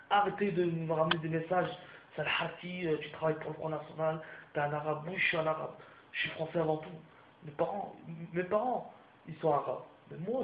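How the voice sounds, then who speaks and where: Heated young man ranting, roomy sound. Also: a little high. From France. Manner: heated, repetitive, crude slang, addresses viewers directly. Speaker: vlogger. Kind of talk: ranting monologue to camera. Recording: roomy, muffled home recording with faint background hiss.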